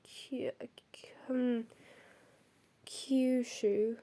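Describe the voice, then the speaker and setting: Young woman, stuffy nose from a cold, mellow tone, slightly high voice, hesitant, frequent ums. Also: fairly even intonation, drawn-out words. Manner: hesitant, many ums and apologies. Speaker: host. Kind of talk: reading notes on folklore.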